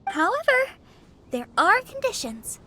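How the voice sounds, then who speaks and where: A woman's voice: very high voice, big pitch swings, unhurried, background noise, full character voice. A woman voicing a sweet, helpful teenage girl in an anime game, earnest, girlish and reassuring.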